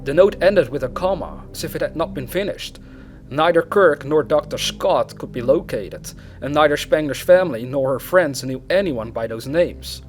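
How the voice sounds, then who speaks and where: A man's voice: on the high side, animated, normal speed. A man narrating true crime as host of the series, reading aloud in a storytelling format. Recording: music behind the voice. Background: background music.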